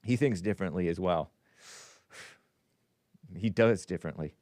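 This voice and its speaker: A man's voice: sits low, moderate pace, darkish tone, no echo, studio quality. A man hosting a call-in talk show, rambling through the news with opinions and frequent restarts. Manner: rambling, opinionated, self-interrupting. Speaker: host. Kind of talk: casual talk radio monologue.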